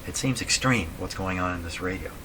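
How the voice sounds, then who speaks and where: A man thinking aloud in a casual, halting way as he explains a repair at his workbench, often putting questions to himself. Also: low-pitched. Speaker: radio repair hobbyist, host. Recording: clearly noisy room recording.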